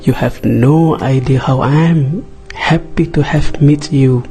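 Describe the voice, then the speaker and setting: A man's voice: medium pitch, a little slow, warm tone, soft background music, close to an everyday voice. A man playing an adoring, attentive young man on a first date in an amateur romance radio drama, earnest and tender. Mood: loving.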